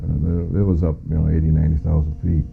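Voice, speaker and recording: Middle-aged American man, deep voice, creaky fry, matter-of-fact interview testimony, muffled lo-fi recording. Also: completely flat delivery, fast, soft background music. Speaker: former air traffic controller giving witness testimony. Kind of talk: recounting first-hand testimony in an interview. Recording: muffled lo-fi audio.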